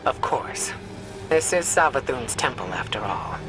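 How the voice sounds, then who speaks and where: A stern female mentor in a video game. She speaks with grave, measured authority and composure while a loud soundtrack plays behind her. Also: rumbling low, lively intonation, poor audio. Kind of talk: video game cutscene acting. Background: loud game soundtrack music.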